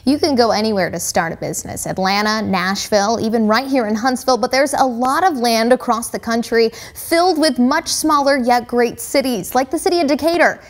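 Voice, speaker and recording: A female news anchor with a slightly high voice. She reads her scripted intros in a polished, measured way.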